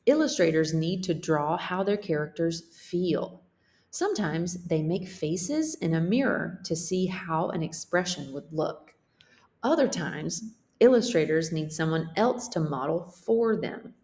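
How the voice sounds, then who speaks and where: A woman teacher reading a children's picture book aloud in a deliberate, expressive way. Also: lowish voice.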